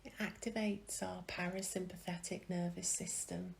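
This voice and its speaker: A woman leading a guided meditation in a gentle, soothing way, with soft phrases that trail into a whisper and long pauses. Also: on the low side, little pitch movement, gravelly, a little hiss or hum.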